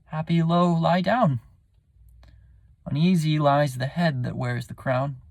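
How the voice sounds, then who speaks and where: A man's voice: fairly high pitch, fairly even intonation, slow, creaky, darkish tone, no room sound. A man acting a weary, sleepless king, pleading theatrically in a Shakespearean soliloquy. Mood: anguished, indignant. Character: weary, sleepless, troubled old-world king.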